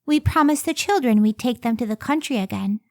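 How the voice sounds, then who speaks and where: A woman's voice: fairly high pitch, animated, a little fast, darkish tone. A woman playing a devoted, hard-working scientist wife in an old time radio drama. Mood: fond, gently chiding.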